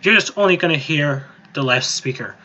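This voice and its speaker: Bright-voiced man, dull lo-fi recording. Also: a little high, lively intonation, moderate pace. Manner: informal, rambling step-by-step explaining. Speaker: music software tutorial presenter. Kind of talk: casual, improvised screen-recorded tutorial. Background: voice-over on a screen recording.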